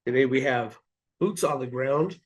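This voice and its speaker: A male podcast host with a raspy voice, hoarse from the flu, chatting in a friendly, joking way and asking halting questions over a video call. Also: medium pitch, no room sound. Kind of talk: casual interview chat.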